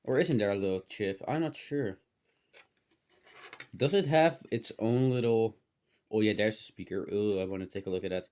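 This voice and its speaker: A man's voice: on the low side, normal speed, pauses often, darkish tone, clear, resonant tone. A man with a slightly dry, strained throat gives casual hands-on commentary, rambling and thinking aloud with muttered asides and mild exclamations. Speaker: host of a hobby electronics teardown video. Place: indoors in a cluttered room at home.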